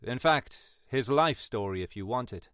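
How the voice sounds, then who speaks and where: A man's voice: mid-range voice, lively intonation, unhurried, fairly bright tone, clear, resonant tone, dry recording, studio quality. A man voicing a cocky young computer expert in an audiobook, with a cut-glass English accent and clipped manner. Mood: matter-of-fact. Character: cocky skinny young male computer forensics whiz, twenty-six.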